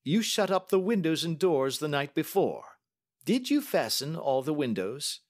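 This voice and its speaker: A man voicing a brisk, astute Victorian gentleman detective with crisp, courteous questioning, in audiobook style. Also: on the high side, big pitch swings, voice pushed up. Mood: probing. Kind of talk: audiobook character acting.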